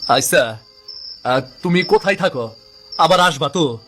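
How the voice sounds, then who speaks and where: A man's voice: on the high side, lively intonation, a little slow, a bit bright, soft background music. A man playing a poor, kind-hearted village cook in a cartoon, with an earnest, humble manner and a rural Bangladeshi accent. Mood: grateful, friendly. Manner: earnest, humble, homely dialect. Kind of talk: cartoon story dialogue.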